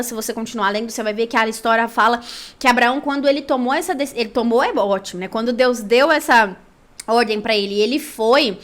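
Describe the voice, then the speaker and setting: Woman, Brazilian accent, higher-pitched voice, conversational faith storytelling, animated and colloquial delivery. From São Paulo, Brazil. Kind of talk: personal storytelling with Bible reading.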